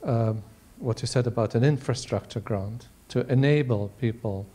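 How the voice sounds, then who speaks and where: A man in his sixties answering on a panel in measured, halting phrases, posing questions one after another. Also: medium pitch, warm tone, breathy edge. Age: 60s. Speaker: panel member, ocular oncologist. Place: large auditorium stage. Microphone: handheld microphone.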